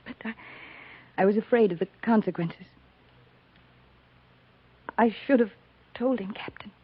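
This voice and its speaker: A woman acting a reserved, grieving frontier woman in a 1950s radio melodrama, halting and full of regret. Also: medium pitch, husky. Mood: regretful, restrained. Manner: halting, confessional, restrained. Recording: muffled 1950s radio recording.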